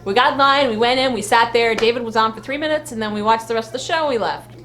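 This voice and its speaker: A woman's voice: on the high side, quick pace, fairly bright tone. A woman co-hosting a fan vlog, chatting casually in a rambling, exclamatory way. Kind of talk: casual live chat recap.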